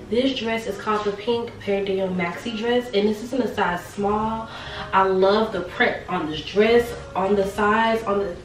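Slightly raspy young woman in a roomy bedroom. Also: mid-range voice. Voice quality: slightly raspy from a sore throat. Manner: chatty, repeats words for emphasis. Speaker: fashion vlogger. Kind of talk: casual try-on haul chat. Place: small bright bedroom. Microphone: camera mic a few steps away. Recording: roomy sound from a distant camera.

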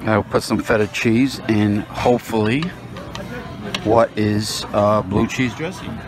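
A man's voice: on the low side, fairly even intonation, takes it slowly. A man filming himself at a buffet restaurant, musing aloud about the food with many fillers, recorded on a handheld phone. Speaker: food vlogger. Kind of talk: casual narration while filming. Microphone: phone camera held while walking.

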